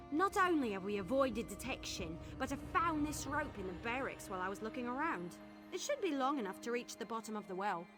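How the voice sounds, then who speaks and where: A wry, confident adventuring scout, with a fairly high voice and very lively intonation. She sounds like a stubborn game companion, with music playing behind her. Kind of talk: video game character acting.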